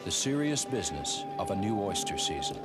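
A man narrating a documentary in a measured, scripted delivery. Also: on the low side, sing-song, over loud music.

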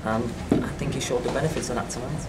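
A man speaking as a football manager in a post-match press interview, frank and halting with many restarts. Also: mid-range voice, steady tone, distant mic, background noise. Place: small clubhouse room with reporters. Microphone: handheld phones and recorders held up by reporters.

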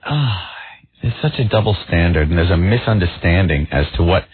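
A man in his thirties co-hosting a radio show, animated and quick to laugh, mocking with put-on voices. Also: deep voice, breathy edge, no echo. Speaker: radio co-host. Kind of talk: casual radio banter. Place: radio studio. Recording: tinny lo-fi radio broadcast with faint hiss.

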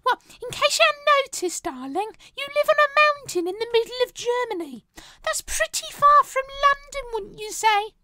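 A man's voice: very high voice, big pitch swings, normal speed, pushed and bright, pitched above the performer's own voice, full character voice. A teenage boy voicing a theatrical, genteel, fussy character with an affected, fluting manner in an audio play. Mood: peeved. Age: teens. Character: theatrical, genteel, very high-voiced character calling everyone darling.

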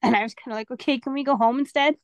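A woman's voice: sits high, steady tone, very fast, dry recording. A young adult woman chatting casually as a guest on a video call. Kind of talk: casual chat. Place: small indoor room on a video call. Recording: dull, lo-fi video-call audio.

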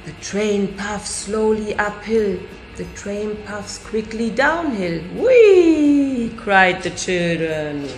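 A woman's voice: mid-range voice, takes it slowly, roomy sound, soft background music. A middle-aged woman, a nursery teacher, reading a picture book aloud to children and raising her voice for the characters. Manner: lively storybook reading with raised voices for characters. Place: classroom indoors.

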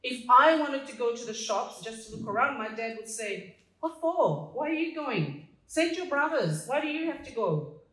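A woman's voice: fairly high pitch, expressive, normal speed, a bit bright. A woman in her fifties from Fiji gives a personal speech at a stand microphone, reading from notes with humor and laughing at her own jokes. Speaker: community association president. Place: small dark stage hall. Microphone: stand mic, filmed from a distance. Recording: roomy hall sound.

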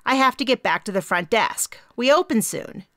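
A woman's voice: on the high side, animated, pushed and bright, dry recording, clean studio sound, pitched above the performer's own voice. Woman doing a bubbly chatty young receptionist from Minnesota. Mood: cheerful. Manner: fast, clipped, round vowels, bouncy.

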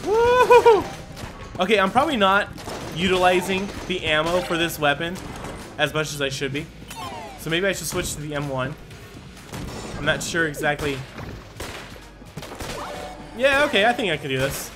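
A man giving casual, excitable commentary as he plays a video game, often talking to himself. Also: high voice, moderate pace, pauses often. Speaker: gaming YouTuber. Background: game music and gunfire.

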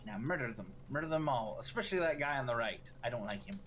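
A person joking with teasing, deadpan banter alongside a gameplay stream. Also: medium pitch, fast, a little hiss or hum. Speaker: co-player in chat.

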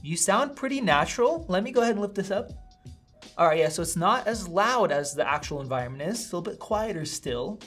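A man's voice: high-pitched, animated, a little fast, a bit bright, soft background music. Tech vlogger, man in his thirties, upbeat and chatty, hands-on testing at a desk. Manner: upbeat, conversational, thinking aloud while testing. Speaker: tech reviewer. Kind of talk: casual product review. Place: small indoor studio room with a vent running.

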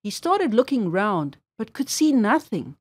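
A woman reads a children's story aloud. Her voice sits a little high and moves with animated intonation, phrasing each sentence expressively as a storyteller. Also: unhurried, husky.

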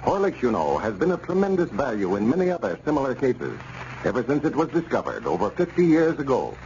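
A male American radio announcer delivers scripted sponsor copy in a formal 1930s style. His medium-pitched voice moves in lively swoops. The recording is dull, with faint hiss. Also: brisk. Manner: formal, polished.

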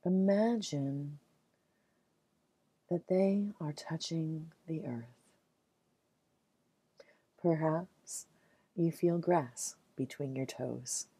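A low-voiced woman leads a guided meditation very slowly, with soothing, gentle phrasing. She leaves long, measured pauses between short phrases. The recording is close and dry. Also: breathy edge, no echo.

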